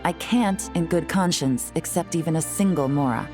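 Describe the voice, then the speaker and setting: An actress playing a cool, sardonic young female detective in a video game, composed, measured and wry. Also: deep voice, a little mellow, faint music behind, natural voice. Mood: composed, gracious. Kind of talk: dramatic game voice acting.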